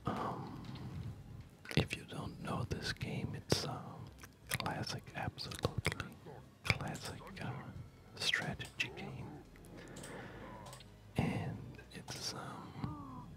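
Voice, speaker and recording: An ASMR host whispering an unhurried, hesitant commentary while playing a strategy game. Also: sits high, wildly swooping pitch. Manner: hesitant, unhurried, softly rambling.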